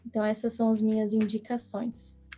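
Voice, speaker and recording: A young woman whose first language is English, telling her story as a guest on a podcast in an earnest, measured way with small restarts. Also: a little high, completely flat delivery, dark tone, soft background music. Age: young adult. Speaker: guest missionary. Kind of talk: casual podcast interview.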